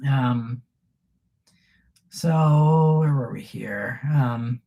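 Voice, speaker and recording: A man's voice: medium pitch, extremely slow, vocal fry, dark tone, lo-fi sound. A male host of a live car stream with a scratchy voice from a cold, answering viewer questions in a loose, rambling way while sitting in a room at home. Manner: loose, rambling, thinks aloud. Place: room at home with shelves behind him.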